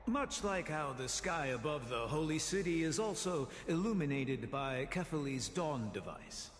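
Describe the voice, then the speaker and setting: Old wise teacher in a game, formal n fatherly, cautious, very expressive pitch, echoey. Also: a little slow. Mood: evasive, reassuring. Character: older male sage and teacher, patient, cautious, secretly doubting. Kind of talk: video game story dialogue. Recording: echoey hall reverb, background ambience noise.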